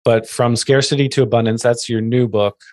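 A man's voice: medium pitch, fairly even intonation, brisk, darkish tone, no echo, studio quality. A man hosting a podcast interview, asking long, rambling questions full of fillers. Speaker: podcast host. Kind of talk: conversational interview.

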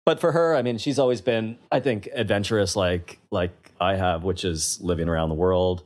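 A deep-voiced man in his forties from western Canada chats as a podcast guest. He tells lively stories, laughs often, and trips over his own restarts. His pitch sits very low. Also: normal speed. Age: 40s. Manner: animated storyteller, laughs often, stumbling restarts. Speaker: guest, investment CEO. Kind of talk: casual interview chat.

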